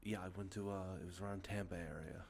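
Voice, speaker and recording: Deep-voiced congested man rambling softly. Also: steady tone, fast, gravelly, a little hiss or hum. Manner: rambling, many ums and uhs, self-correcting. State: congested from allergies, sniffly. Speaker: ASMR channel host. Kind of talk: soft-spoken show-and-tell about collected video games. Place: outdoors with traffic nearby.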